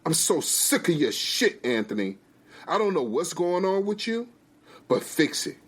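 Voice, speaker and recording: A man voicing an angry, fed-up wife and mother with a sharp tongue and a scolding, emphatic delivery. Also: medium pitch, sing-song, unhurried.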